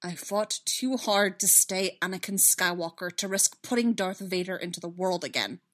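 A woman voicing an anguished, self-doubting young male Jedi in a story, impassioned and halting. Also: fairly low pitch, fairly bright tone, natural voice. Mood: strained insistence. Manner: impassioned, halting, insistent repetition.

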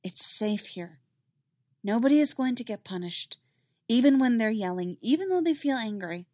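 A woman voicing a calm, protective mother who speaks quietly and firmly. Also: medium pitch, measured pace, dry recording, clean studio sound, natural voice. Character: calm, protective mother, firm under pressure.

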